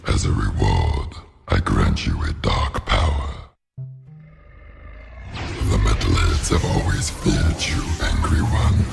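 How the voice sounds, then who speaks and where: A man's voice: sing-song, slow, creaky, long pauses, soft background music, heavily acted. A man voicing an ancient, monstrous oracle spirit in a video game, growling out solemn, portentous pronouncements. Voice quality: growling, rumbling. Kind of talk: video game cutscene acting.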